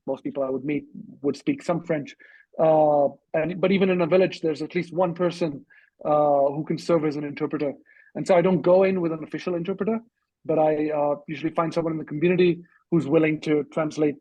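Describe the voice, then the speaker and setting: A man with a husky voice giving measured, reflective, slightly hesitant answers as a guest in an online discussion. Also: a little high, warm tone, dry recording, rough recording. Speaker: interview guest, author and journalist. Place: online video call.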